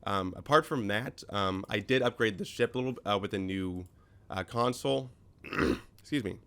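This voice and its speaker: A man's voice: fairly low pitch, moderate pace, a bit bright, smooth. A man hosting a casual game commentary, his voice slightly scratchy from a recent cold. He rambles through explanations with many ums and self-corrections. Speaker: gaming video host.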